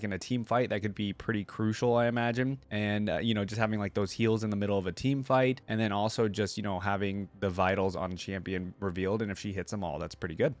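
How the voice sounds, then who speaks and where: A man hosting a reaction video, talking informally and thinking aloud as he comments and reads text out. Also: on the low side. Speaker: video host reacting to game lore.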